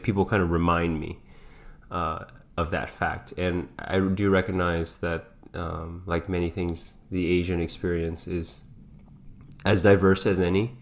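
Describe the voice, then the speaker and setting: A man co-hosting a podcast in casual, reflective conversation, speaking hesitantly as he thinks out loud. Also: low-pitched. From grew up in several countries. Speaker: podcast co-host.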